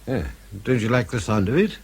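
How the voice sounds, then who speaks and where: A man's voice: mid-range voice, lively intonation, fairly quick, darkish tone, slightly rough. An actor playing a kindly older British section chief in a radio drama, genial and fatherly in manner. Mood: genial, reassuring. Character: kindly, avuncular old outgoing section chief.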